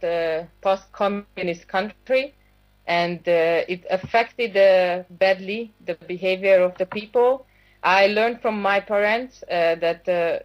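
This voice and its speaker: A woman's voice: mid-range voice, muffled recording. A woman in her thirties from Hungary, speaking as a guest over a video call and giving a personal account with many hesitations and fillers. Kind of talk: personal account on a talk show.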